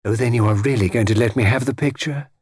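A man voicing an insistent, quick-tempered woman in an audiobook, pressing and coaxing to get her way. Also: mid-range voice, a little mellow, breathy edge, no echo, clean studio sound, natural voice.

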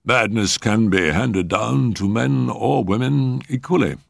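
A man voicing a pompous, genial eminent male doctor who lectures in a measured, emphatic way in a storybook reading. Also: lowish voice, big pitch swings, gravelly, no room sound, studio quality, pitched above the performer's own voice, full character voice. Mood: dry, instructive.

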